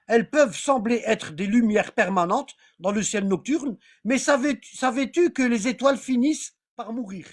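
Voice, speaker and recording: A religious teacher running an informal online class, often repeating phrases and asking listeners if they follow. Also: mid-range voice. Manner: repetitive, rambling, checks for understanding; reads aloud from text, then comments.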